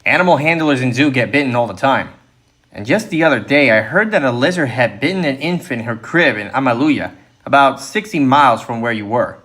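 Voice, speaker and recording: A male narrator plays a confident field biologist in an audiobook. He explains precisely and with assurance in a natural, medium-pitched voice. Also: lively intonation, a little fast, fairly bright tone. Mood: assured.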